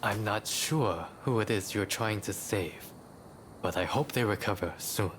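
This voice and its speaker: Composed medicine maker, faint ambience. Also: male voice, fairly low pitch, unhurried, a bit bright, a little hiss or hum. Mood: measured. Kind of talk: conversational video game cutscene acting.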